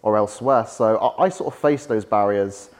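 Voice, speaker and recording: A young man with a softened Yorkshire accent and a lowish voice talks as a podcast guest. His speech is chatty and anecdotal, full of "like" fillers. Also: normal speed. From West Yorkshire, England. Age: young adult. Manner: chatty, storytelling, frequent "like" fillers. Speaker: podcast guest, startup founder. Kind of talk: casual interview chat.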